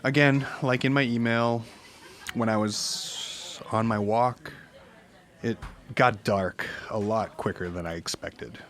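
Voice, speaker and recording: Shaken witness telling an eerie story. Deep, unhurried, halting, naturalistic audio-drama read. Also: male voice, fairly even intonation, fairly bright tone. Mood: uneasy, recounting. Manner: halting, deliberate, uneasy. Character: haunted married truck driver recounting eerie encounters. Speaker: podcast guest, witness.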